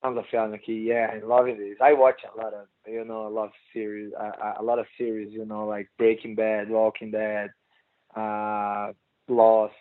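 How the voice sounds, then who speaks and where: A Brazilian man with a Portuguese accent, a guest speaking halting non-native English with many restarts and "you know". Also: lowish voice, monotone, dry recording, phone-quality sound. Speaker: guest fighter. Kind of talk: casual interview chat.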